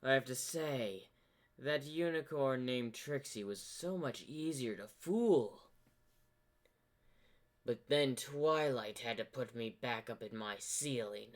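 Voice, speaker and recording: Man playing a slow, sly corrupted zebra spirit. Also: medium pitch, close to an everyday voice. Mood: amused, musing. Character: sly ancient corrupted zebra spirit, smug, bored manipulator. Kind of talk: fan-made fantasy cartoon voice acting.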